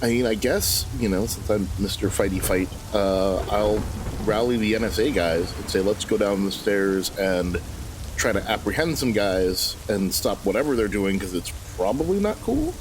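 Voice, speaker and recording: Guy at a game table, lowish voice, casual and jokey, noisy hissy recording. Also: moderate pace.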